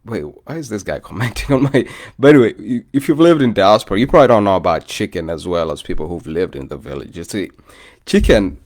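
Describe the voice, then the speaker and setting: Deep-voiced Kenyan man chatting casually. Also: expressive, fast talker, a little mellow. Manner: loose and chatty, often says "bro", greets live commenters. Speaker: vlogger. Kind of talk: casual rambling chat to viewers.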